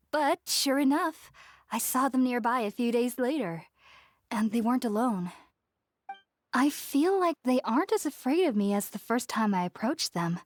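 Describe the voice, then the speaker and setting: A woman playing a gentle, earnest young woman scholar in a video game, speaking in a polite, measured, reflective way. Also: fairly high pitch, no echo, clean studio sound. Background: music.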